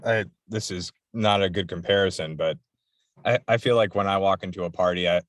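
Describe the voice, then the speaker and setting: Male podcast host, very low voice, dry room, meandering casual questions. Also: no room sound. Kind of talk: casual interview chat.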